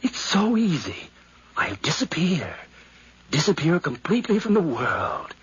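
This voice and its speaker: High-strung young murderer, old radio drama. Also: male voice, huge pitch swings, a little slow, fairly bright tone, husky, heavily acted. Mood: smug, unhinged. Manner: theatrical, agitated, talking to himself. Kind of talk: 1950s radio drama acting. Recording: muffled, noisy 1950s radio recording.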